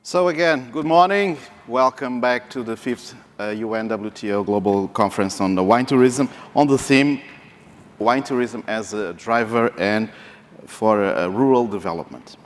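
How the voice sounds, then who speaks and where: A man's voice: mid-range voice, normal speed. A man hosting a conference, friendly and chatty with his asides. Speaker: conference host. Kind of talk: opening remarks at a conference. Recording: reverberant conference hall.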